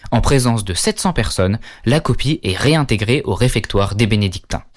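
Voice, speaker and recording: A man narrating a scripted art-history lesson, speaking directly to viewers with questions and wry asides. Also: medium pitch. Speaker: educational video narrator.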